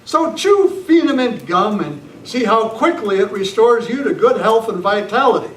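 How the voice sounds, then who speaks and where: An echoey, noisy live recording of a man announcing in old-time radio style. His voice is high and comes from some distance as he reads scripted narration. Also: lively intonation, moderate pace. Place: club meeting room, live performance. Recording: echoey noisy meeting room, distant mic.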